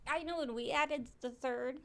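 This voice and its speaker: A woman co-hosts a laid-back podcast, speaking into a studio mic. Her voice sits fairly high, and she teases her partner playfully and chimes in with quick agreement. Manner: playful, teasing, quick to laugh along. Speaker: podcast co-host, former therapist. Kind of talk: casual podcast chat.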